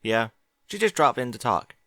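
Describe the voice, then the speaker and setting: A man's voice: medium pitch, sing-song, normal speed, bright, forceful tone, no room sound, studio quality, voice pushed up. A man voicing a spunky young filly pony girl in a fan fiction reading, with an eager, indignant way of talking. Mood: wistful.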